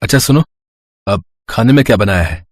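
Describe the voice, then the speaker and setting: A man's voice: fairly low pitch, animated, a little fast, no room sound. A man acts as a humble, kind farmer from a storybook village. He speaks earnestly to his family and neighbours, in a very dry, close recording. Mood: cheerful. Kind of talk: Hindi moral-story cartoon dubbing.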